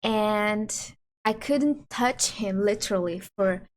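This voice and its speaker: A woman's voice: mid-range voice, slow, a little hiss or hum. Woman chatting with husband. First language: Portuguese. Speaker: channel host. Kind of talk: casual couple Q&A chat.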